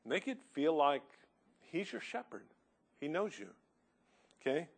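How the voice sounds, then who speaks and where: A man preaching a sermon, loud and earnest, reading hymn verses with rising force. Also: on the high side, big pitch swings, a little slow.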